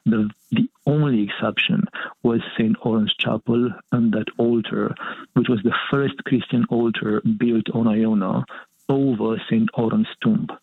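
A husky, gravelly man, speaking reflectively and chuckling often as he talks. His voice comes through a tinny, phone-like line with a dry sound. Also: mid-range voice, steady tone, unhurried, dry recording. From Romania. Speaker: monastic guest, pilgrimage leader. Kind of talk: casual interview conversation.

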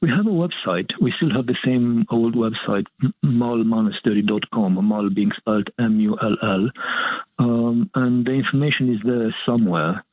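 Monk, reflective, laughs a lot, husky voice, tinny dry line. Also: male voice, mid-range voice, moderate pace, dry recording. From Romania. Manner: reflective, laughs often while speaking. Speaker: monastic guest, pilgrimage leader. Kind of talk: casual interview conversation.

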